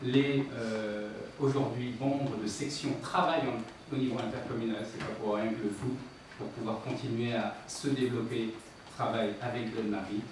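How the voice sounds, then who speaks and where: Man, insistent political debater, medium pitch, distant and noisy council-room recording. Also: fairly even intonation, normal speed, heavy reverb. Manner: insistent, emphatic repetition. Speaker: local elected official at a council meeting. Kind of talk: political debate, explaining and arguing. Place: indoor municipal council meeting.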